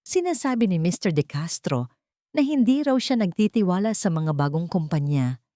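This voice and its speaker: A woman's voice: fairly low pitch, expressive, normal speed, mellow, no room sound, studio quality. A woman reading a scripted dramatic story as its narrator.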